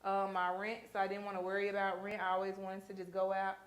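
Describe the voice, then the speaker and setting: A woman's voice: mid-range voice, completely flat delivery, fast talker. A young woman. She chats easily and walks through each makeup step as she does it, in a beauty studio. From Mississippi. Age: 20s. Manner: chatty, explains her steps as she works. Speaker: makeup artist. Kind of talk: casual chat while working.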